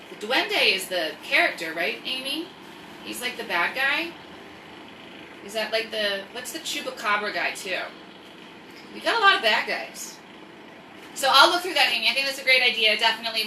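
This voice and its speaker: Very bright, chatty woman in echoey room. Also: mid-range voice, distant mic. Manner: rambling, self-interrupting, animated asides. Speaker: online storytime host and craft seller. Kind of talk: casual livestream chat. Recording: echoey room, off-mic, faint noise.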